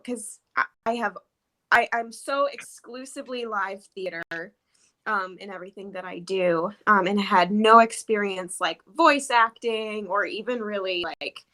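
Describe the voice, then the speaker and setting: Woman, actor, very bright forward voice, casual interview chat, animated, giggly and hesitant. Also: medium pitch. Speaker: stage and voice actor.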